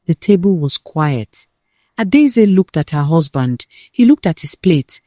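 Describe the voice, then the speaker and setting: A woman narrating a scripted dramatic family tale as a storyteller, in deliberate, measured phrases with pauses for weight. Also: sits low, sing-song, warm tone, no echo, studio quality.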